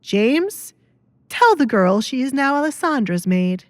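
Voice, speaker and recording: A woman's voice: high voice, very animated, unhurried, no echo, pitched above the performer's own voice. Domineering baron and father, read by a female narrator, curt, authoritative, middle-aged, audio drama. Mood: commanding.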